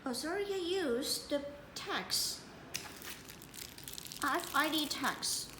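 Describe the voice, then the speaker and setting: A woman giving a hands-on installation tutorial as a product presenter, speaking in halting, piecemeal phrases with repetition. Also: high voice, expressive. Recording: echoey room with clear background noise.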